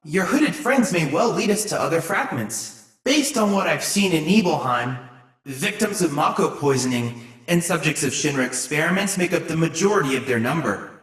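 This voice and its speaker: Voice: mid-range voice, lively intonation, normal speed, fairly bright tone, breathy edge, dry recording, rough recording. An actor voicing an earnest, brainy boy-like android assistant in a video game, youthful-sounding and speaking in formal, precise, bookish phrases. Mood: thoughtful. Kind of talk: video game voice acting, scripted dialogue.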